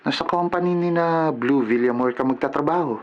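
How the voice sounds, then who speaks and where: A man's voice: on the high side, brisk, dull audio, slight background noise, lightly acted. An actor playing a chatty, frank young man, a concerned friend, in a radio drama. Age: young adult. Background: music.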